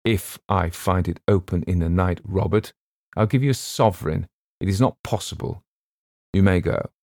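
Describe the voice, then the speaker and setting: A man voicing an angry, imperious gentleman passenger who scolds roughly in a storybook reading. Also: low voice, moderate pace, dry recording, clean studio sound. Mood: curt. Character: furious gentleman passenger berating a servant, imperious.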